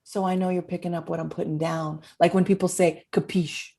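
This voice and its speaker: A woman's voice: fairly low pitch, level tone, brisk, warm tone, breathy edge. A woman playing a shady, brash poison seller in a comedy table read, cocky and wisecracking. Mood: cocky, teasing.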